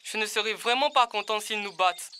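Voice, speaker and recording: A woman's voice: mid-range voice, fairly quick, bright, forceful tone, clean tone, lightly acted. A woman voicing a stubborn, proud young boy footballer in a children's cartoon dub. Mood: sulky. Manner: insistent, boastful.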